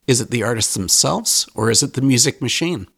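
A man's voice: mid-range voice, lively intonation, a little fast, vocal fry, fairly bright tone, breathy edge. A man hosting a podcast interview, asking frank but courteous questions. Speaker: podcast host.

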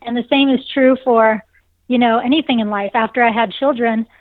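A woman's voice: on the high side, fairly even intonation, brisk, dry recording. A woman in her forties with a nasal voice, a singer and saxophonist answering interview questions in a bubbly way and laughing often. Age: 40s. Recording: dry, tinny phone line.

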